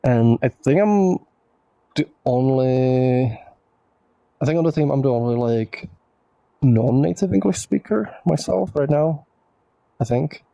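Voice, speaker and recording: A man's voice: medium pitch, lively intonation, unhurried, creaky, long pauses, dark tone, no echo, rough recording. A man whose first language is Czech, hosting a casual livestream Q&A, reading viewer questions aloud and rambling through his answers with lots of fillers.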